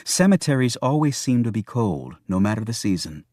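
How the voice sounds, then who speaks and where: A man's voice: fairly low pitch, big pitch swings, moderate pace, a little mellow, no room sound, clean studio sound. A man narrating a thriller novel as a scripted audiobook.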